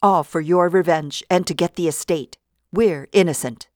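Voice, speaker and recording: A woman's voice: fairly low pitch, normal speed, a little mellow, dry recording, studio quality, close to an everyday voice. A woman narrator voicing Elbert, an agitated man protesting his innocence. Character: panicky anxious man accomplice.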